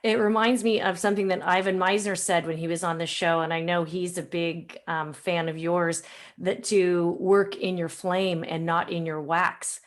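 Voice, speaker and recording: A woman hosting a podcast interview in an informal, conversational and somewhat halting way. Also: fairly low pitch, level tone. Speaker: podcast host.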